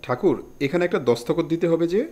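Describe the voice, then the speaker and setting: A man's voice: a little high, lively intonation, fast, a little mellow, close to an everyday voice. A man playing a polite, deferential letter carrier in an audio drama. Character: deferential letter messenger, plain working man.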